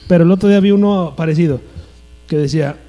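A man's voice: a little high, fast, dark tone. Slangy young Mexican man, mains hum. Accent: Mexican Spanish. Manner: slangy, says "güey" constantly. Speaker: podcast co-host. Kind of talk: casual chat.

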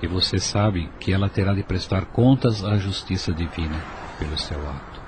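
A man playing a stern, spiritually minded family man, grave and measured, in a radio soap opera. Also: sits low, natural voice. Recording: noisy audio with ambient sound.

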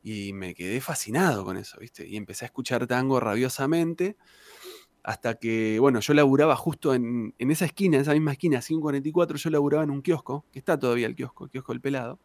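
An Argentine man in his thirties with a gravelly, creaky voice, telling his story as an interview guest. He rambles in a relaxed, chatty way, with fillers and questions tacked onto the ends of his lines. The sound is close and dry. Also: medium pitch, fast talker, no echo. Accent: Argentine Spanish. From Argentina, Santa Cruz province. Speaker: tango singer, interview guest.

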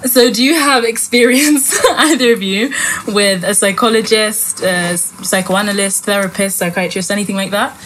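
A woman's voice: mid-range voice, moderate pace, fairly bright tone, a little hiss or hum, low-quality recording. A young woman hosting a casual podcast chat, asking long rambling questions and correcting herself as she goes. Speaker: podcast host.

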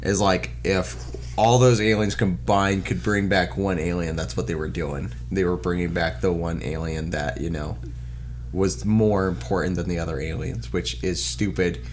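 A man's voice: low-pitched, steady tone, moderate pace, slight background noise. A man co-hosting a casual movie-review podcast, joking and laughing as he talks. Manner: joking, laughs while talking. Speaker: podcast co-host.

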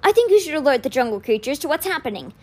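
A woman voicing a kindly, wise old man in an audiobook, patient and measured, with a gentle gravity. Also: high voice, very animated, pitched above the performer's own voice. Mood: courteous, earnest. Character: kindly, wise old male magician mentor, gently grave.

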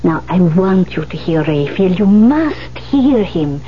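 A woman's voice: on the low side, sing-song. An actress playing a bossy, coaxing older woman who mentors a pianist in a 1970s radio drama, speaking with insistent emphasis. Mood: commanding. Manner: insistent, emphatic, halting when delicate. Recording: dull vintage radio recording, faint hum.

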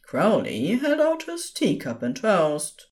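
A woman narrating scripted audio fiction as a storyteller, with an expressive, measured delivery. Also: medium pitch.

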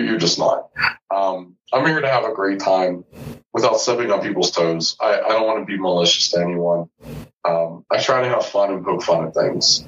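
Forties male guest, low-ish, frank and profane; distant, dull call audio. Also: a bit bright. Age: 40s. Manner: blunt and profane, candid. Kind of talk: casual chat. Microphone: video call.

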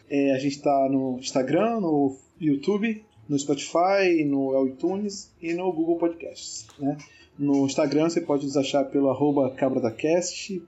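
Man hosting, dull audio. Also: on the high side. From Northeast Brazil. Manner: relaxed, joking, hesitant fillers. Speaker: podcast host. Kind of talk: casual podcast chat.

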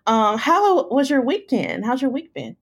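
A woman hosting a casual podcast, laughing often, with a slightly hoarse throat. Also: sits high, very animated, a little slow. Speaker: podcast host. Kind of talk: casual chat.

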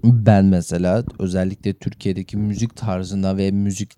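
A man's voice: deep voice, level tone, normal speed, mellow, no echo, clean studio sound. A man co-hosting a podcast, discussing and arguing points in a casual, conversational way. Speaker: podcast co-host. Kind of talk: casual chat, music discussion.

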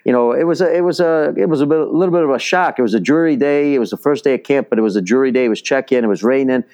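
Smooth-voiced man telling stories. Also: mid-range voice, rapid-fire, darkish tone. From Chicago, US. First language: Italian. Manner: rambling, many fillers and restarts. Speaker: baseball instructor, podcast guest. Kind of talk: casual interview storytelling.

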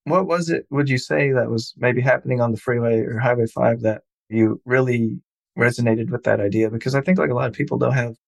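A man's voice: medium pitch, fairly even intonation, a little fast, creaky, dry recording. A man hosting a podcast, chatting loosely with a guest and laughing often. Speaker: podcast host. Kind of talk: casual interview chat.

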